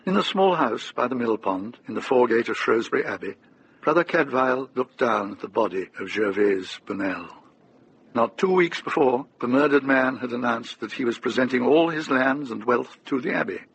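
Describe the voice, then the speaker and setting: An actor narrating as a grave, measured storyteller in a scripted radio drama. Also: male voice, medium pitch, lively intonation, slight background noise, close to an everyday voice, low-quality recording.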